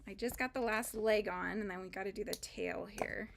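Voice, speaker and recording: A woman's voice: medium pitch, fairly even intonation, moderate pace, creaky, noisy background. A woman hosting a live crochet stream, chatting casually and rambling with frequent fillers and laughs as she works. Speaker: crochet livestream host.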